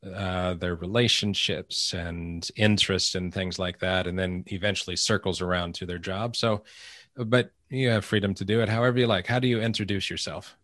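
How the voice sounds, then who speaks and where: A man hosting a faith podcast, reading a measured, earnest intro and then chatting with a guest with some hesitant fillers. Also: sits low, steady tone, a bit bright. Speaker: podcast host. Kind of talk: scripted intro then interview. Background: music.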